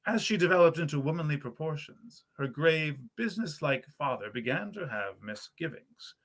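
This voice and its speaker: A man with a slightly high voice reads old-fashioned literary fiction aloud. His delivery is theatrical and genteel, the expressive manner of an actor telling a period story. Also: moderate pace. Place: period parlor in a historic house.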